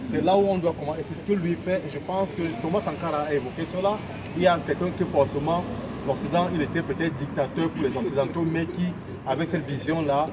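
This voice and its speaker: Earnest man at a loud street protest. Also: a little high. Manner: earnest, questioning, slogan-like. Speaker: protest speaker. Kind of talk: impassioned street speech. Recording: loud street noise outdoors.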